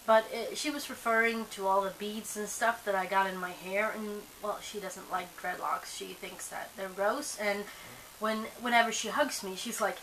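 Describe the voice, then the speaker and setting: A woman's voice: medium pitch, steady tone, normal speed, fairly bright tone, poor audio. A young woman whose first language is Swedish, chatting casually to camera, rambling with lots of fillers and restarts, a bit sleepy. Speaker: vlogger. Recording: faint hiss.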